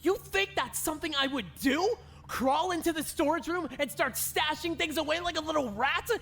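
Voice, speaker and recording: A woman playing a haughty, theatrical young woman who speaks with dramatic, drawn-out outrage. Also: sits high, fairly bright tone, slight background noise. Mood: indignant outrage. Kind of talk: animated murder-mystery voice acting.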